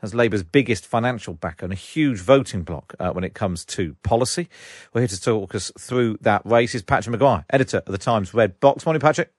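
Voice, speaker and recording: Fast-talking low-voiced male presenter. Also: no room sound, clean studio sound. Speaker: radio presenter. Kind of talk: broadcast interview and links.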